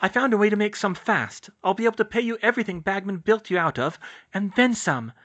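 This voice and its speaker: A man's voice: sits high, animated, fairly quick, a bit bright, highs cut off, voice pushed up. A man voicing a fierce, determined and protective teenage witch girl in a story, speaking in an urgent, emphatic way. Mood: earnest, urgent. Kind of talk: audiobook character voice.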